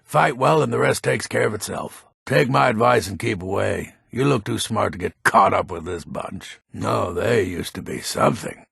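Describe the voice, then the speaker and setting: An actor playing a grumpy, world-weary old male assassin in a video game, with a gravelly, raspy voice and a scornful, sardonic, grumbling delivery. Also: on the low side, normal speed, few pauses, fairly bright tone, no echo, studio quality, full character voice. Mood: weary, wry. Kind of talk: video game character dialogue.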